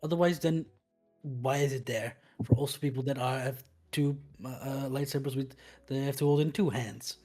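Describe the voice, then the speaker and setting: A man's voice: medium pitch, fairly even intonation, moderate pace, dark tone, soft background music. A man playing a tabletop game online, casually explaining the rules to the group. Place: online voice call.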